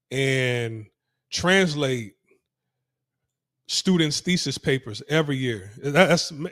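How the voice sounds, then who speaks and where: A man's voice: on the high side, a bit bright, dry recording, clean studio sound. A man talking casually to his viewers while moving through city streets, rambling with many restarts and repeated phrases. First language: English. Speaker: vlogger. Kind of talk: casual walking vlog, talking to camera.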